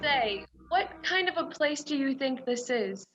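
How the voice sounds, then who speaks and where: A woman's voice: sits high, animated, measured pace, music in the background. A woman acting in a radio play as a prim, protesting young woman, a defiant and indignant reluctant captive. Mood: anxious. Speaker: student stage actor. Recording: dull, lo-fi virtual recording.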